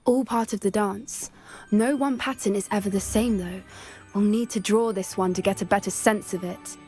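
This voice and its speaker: A woman voicing a kind, earnest teenage witch in a video game, with a British accent and a gentle, confiding manner. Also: mid-range voice, steady tone, moderate pace, faint music behind, natural voice. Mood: excited, wondering. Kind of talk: video game cutscene acting.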